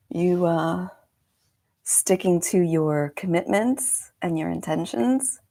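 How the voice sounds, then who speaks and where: A woman's voice: deep voice, vocal fry, a little mellow, breathy edge. A woman in her forties, a meditation coach, chatting casually on a live stream, slightly congested and rambling through friendly asides. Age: 40s.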